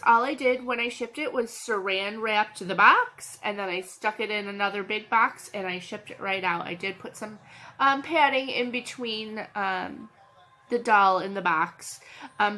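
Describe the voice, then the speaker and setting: A woman's voice: mid-range voice, normal speed, bright, forceful tone. Chatty woman with a cold. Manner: chatty, scattered, lots of ums and restarts. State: has a cold. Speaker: channel host, reseller. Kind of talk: casual vlog chat.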